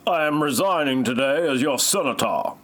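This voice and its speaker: Someone playing a jolly, lively senator. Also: medium pitch, normal speed. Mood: contrite. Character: jolly large senator, resigning in shame. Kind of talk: amateur parody audio play.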